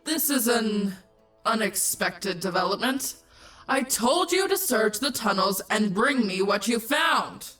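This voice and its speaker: Woman as a slow, imperious changeling queen. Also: fairly high pitch, animated, a bit bright, faint music behind, low-quality recording. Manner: haughty, drawn-out, commanding. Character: imperious, scornful changeling queen, female villain. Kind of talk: dramatic cartoon-style character acting.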